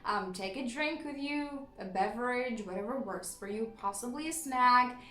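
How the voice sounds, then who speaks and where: A young woman with a Romanian accent, chatting in a storytime vlog, laughing often and filling pauses with ums. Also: a little high, fairly bright tone, echoey room. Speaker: YouTuber.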